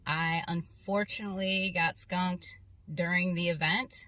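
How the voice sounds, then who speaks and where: A woman's voice: lowish voice, steady tone, measured pace, sharp tone, dry recording, far from the mic. A woman angler giving a personal testimonial about her own experience. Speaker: tournament angler.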